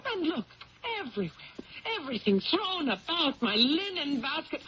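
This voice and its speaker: A woman's voice: sits high, sing-song, moderate pace, crowd noise. An actress playing a distraught woman in a radio drama, gasping and exclaiming in broken phrases. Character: distraught woman finding her room ransacked. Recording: noisy, muffled old radio recording.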